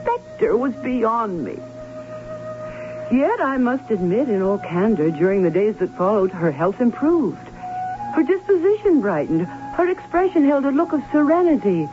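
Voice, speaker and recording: An actress playing a fearful, anxious aunt in an old radio drama, speaking in a halting, dramatic way. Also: female voice, fairly high pitch, sing-song, over music. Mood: troubled confession. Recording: muffled, hissy old radio broadcast.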